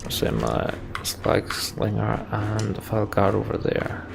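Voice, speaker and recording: Low-voiced man doing game commentary over music. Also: big pitch swings, slow pace, creaky. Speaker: let's play host. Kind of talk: reading game text aloud and casual gameplay commentary.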